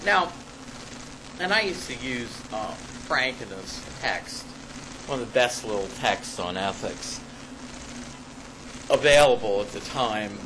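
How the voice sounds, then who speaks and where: Man lecturing over clearly noisy recording. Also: medium pitch, lively intonation, takes it slowly, pauses often. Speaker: college ethics lecturer and paper author. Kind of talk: reading an academic paper aloud to a class with asides. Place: classroom in front of students. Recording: noisy amateur classroom recording.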